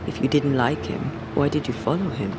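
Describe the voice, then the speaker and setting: An actor playing a guarded, dry-witted male ghost hunter with a measured, laconic manner in a video game. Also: mid-range voice, heavy background noise, natural voice. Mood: probing. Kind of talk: dramatic video game acting.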